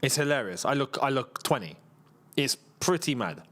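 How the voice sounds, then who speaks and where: A man's voice: mid-range voice, expressive, normal speed, a bit bright. A tired man, chatting casually as the host of a football watchalong, rambling, laughing often and reading out viewer messages. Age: 30s. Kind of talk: casual live watchalong chat.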